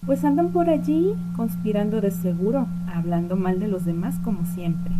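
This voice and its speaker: Behind loud noise, a busy female prefect acted by a woman speaks in a medium-pitched voice. She is sarcastic and distrustful, in a homemade radio play. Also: animated, moderate pace, lightly acted. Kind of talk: amateur Spanish-language radio play acting. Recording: very noisy home recording, loud ambience.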